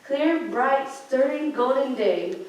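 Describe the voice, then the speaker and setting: A woman's voice: high-pitched, animated, slow pace, a little mellow, a little hiss or hum. A teenage girl reading scripted story narration for a student radio play, with occasional stumbles. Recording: very echoey room, distant microphone.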